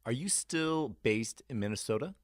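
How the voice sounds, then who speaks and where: A man's voice: mid-range voice, animated, normal speed, no room sound, clean studio sound. An American man hosting a casual radio interview, asking questions in an informal, tentative way.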